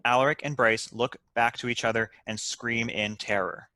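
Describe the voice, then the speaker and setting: A man's voice: mid-range voice, steady tone, normal speed, pushed and bright, dry recording. A man reading stage directions aloud as scripted narration for a comedy script.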